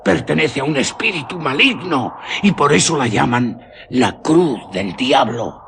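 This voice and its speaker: A man with an accent from Spain playing a rustic village guide who tells an old cursed legend in a grave, dramatic, deliberate radio drama style. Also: mid-range voice, animated, creaky, fairly bright tone, a little hiss or hum. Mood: ominous, indignant. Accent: Spanish from Spain.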